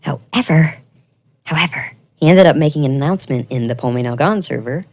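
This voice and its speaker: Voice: medium pitch, a little fast. A video creator delivering semi-scripted commentary in an earnest, accusatory and emphatic way.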